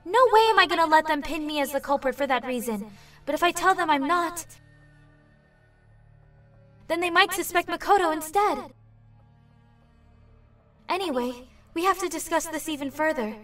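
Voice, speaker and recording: Earnest teenage girl under suspicion played by a woman, high-pitched, anime dub, a little off the mic. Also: normal speed, pauses often, fairly bright tone, far from the mic, faint music behind. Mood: defiant worry. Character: earnest, determined teenage girl student on trial. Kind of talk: anime fan dub acting.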